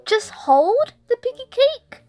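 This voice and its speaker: A woman voicing an excitable, stubborn young girl rabbit from a picture book, parroting short phrases. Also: very high voice, slow pace, no echo, faint music behind, pitched above the performer's own voice, exaggerated voice. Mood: sweetly coaxing.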